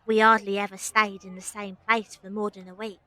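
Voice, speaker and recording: A woman's voice: medium pitch, level tone, brisk, bright, forceful tone, natural voice. A woman playing a rebellious, dreamy young Victorian circus girl in an audio drama, informal and cheeky in manner. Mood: wistful, reflective. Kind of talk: audio drama acting and first-person narration.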